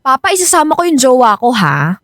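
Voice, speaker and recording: An actress playing a cheeky, teasing young sister in a family radio drama, slangy and sassy. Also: female voice, sits high, big pitch swings, fairly quick, dry recording, studio quality.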